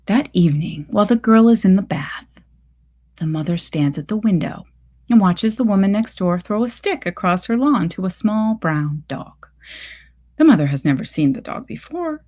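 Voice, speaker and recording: A woman reading literary fiction aloud as scripted narration, with measured, deliberate phrasing. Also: medium pitch, lively intonation, a little mellow. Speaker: reader of a short story.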